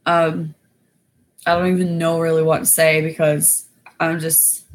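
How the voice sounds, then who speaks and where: A woman with a raspy voice chatting casually on a livestream, rambling with many fillers. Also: low-pitched, level tone, a little slow. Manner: rambling, loose, lots of fillers. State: winded after exercising. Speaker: YouTuber going live.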